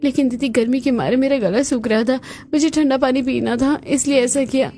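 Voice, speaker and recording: A woman's voice: high-pitched, fairly quick, mellow. A woman playing a capable, long-suffering young daughter-in-law in a cartoon story. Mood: hurt, indignant.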